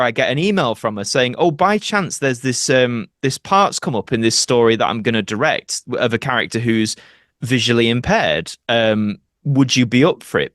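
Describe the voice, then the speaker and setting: A man with a Yorkshire accent chats as a guest in a casual interview. His voice sits at an average pitch, and he tells colloquial, meandering stories like an actor among friends. The sound is close and dry, with no room echo. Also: fairly bright tone. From Doncaster, Yorkshire, England. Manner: chatty, colloquial, rambling anecdotes.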